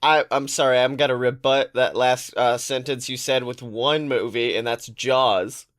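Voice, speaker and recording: A woman's voice: very deep voice, fairly even intonation, unhurried. A woman co-hosting a podcast in casual banter, blunt, sweary and contrarian. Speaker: podcast co-host.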